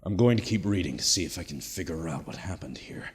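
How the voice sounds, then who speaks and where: A weary soldier with an extremely low voice, speaking into a log in a grave, brooding way. The space is echoey, with long reverb trailing the words. He is a haunted survivor in a radio play, confiding as if alone. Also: animated, quick pace. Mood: grave, curious. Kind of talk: audio drama monologue as a recorded log.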